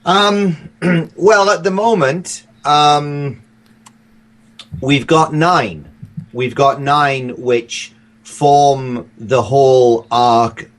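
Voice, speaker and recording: A man's voice: on the high side, fairly even intonation, extremely slow. A man chatting as a podcast guest, thoughtful and hesitant, often circling back over his phrases. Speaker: audio drama creator, podcast guest. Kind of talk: casual interview chat.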